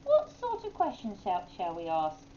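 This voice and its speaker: A woman voicing curious, excitable farmyard animal pupils in a storybook, asking eagerly. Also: mid-range voice, normal speed, dull audio, a little hiss or hum. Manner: eager, questioning.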